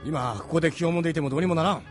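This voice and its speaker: Man acting a rapid-fire, brusque captain. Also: a little high, faint music behind, close to an everyday voice. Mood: urgent, commanding. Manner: brusque, commanding. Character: gruff, decisive male captain of a resistance crew. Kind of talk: Japanese audio drama acting.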